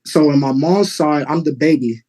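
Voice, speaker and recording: A man in his thirties from Texas, answering a judge's questions in court politely and haltingly. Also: a little high, warm tone. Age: 30s. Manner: polite, halting, many fillers. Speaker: litigant father.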